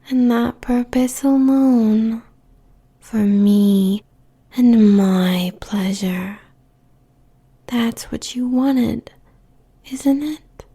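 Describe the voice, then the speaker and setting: A woman playing a possessive, seductive succubus demon queen in a breathy, teasing whisper, as an intimate audio roleplay. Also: mid-range voice, dark tone, exaggerated voice. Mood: seductive, mocking. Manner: slow, teasing, drawn-out phrases.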